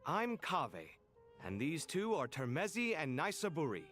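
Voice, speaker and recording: Eager young game architect, high lively voice, music behind. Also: male voice, normal speed. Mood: cheerful. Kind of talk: video game voice acting.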